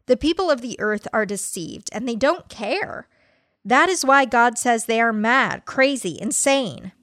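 A woman's voice: fairly high pitch, lively intonation, a little slow, fairly bright tone, no room sound, clean studio sound. A woman voicing an indignant doomsday preacher in a dramatic reading of a religious tract, with emphatic stresses and rhetorical questions. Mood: indignant warning.

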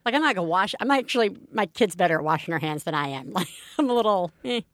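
A woman's voice: lowish voice, quick pace, dry recording. A woman in her thirties from New York, co-hosting a casual podcast, telling stories animatedly with acted-out voices and casual swearing. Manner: animated storyteller, acts out voices, swears freely. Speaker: podcast co-host. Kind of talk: casual chat.

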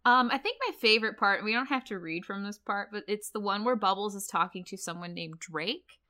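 A woman hosting a podcast in casual, animated banter with an incredulous, giggly manner. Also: mid-range voice, brisk. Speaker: podcast host.

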